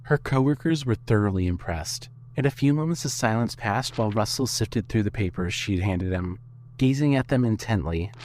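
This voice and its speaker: A man's voice: lowish voice, expressive, normal speed, darkish tone, a little hiss or hum. A man reading scripted narration for an audio fiction story.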